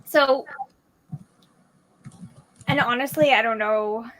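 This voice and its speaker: A woman's voice: on the high side, slow, a bit bright, rough recording. A woman in her early twenties in a casual book discussion, venting animatedly and saying "like" a lot.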